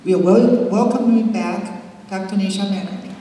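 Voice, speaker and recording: A man's voice: high-pitched, normal speed. A man introducing a speaker to an audience, welcoming and brief, with a slight stumble. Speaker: event host introducing the speaker. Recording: far from mic in reverberant hall, crowd noise.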